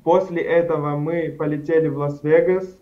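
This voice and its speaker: A man's voice: fairly high pitch, steady tone, moderate pace, dark tone. Young man on a dull video call. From Karachay-Cherkessia, Russia. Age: young adult. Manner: rambling, frequent fillers and self-corrections. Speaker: studio guest. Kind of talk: casual interview answers. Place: indoors at home, plain wall. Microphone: video call webcam.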